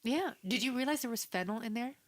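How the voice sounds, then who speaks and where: A woman's voice: fairly high pitch, expressive, quick pace. A woman co-hosting a casual podcast chat, teasing and matter-of-fact. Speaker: podcast co-host.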